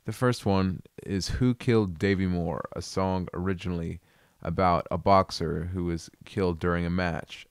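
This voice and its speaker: A man hosting a casual video, chatting about an album in a relaxed, amused, rambling way. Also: low-pitched, normal speed, few pauses, clear, resonant tone, no room sound, studio quality. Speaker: video host reviewing an album. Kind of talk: casual commentary.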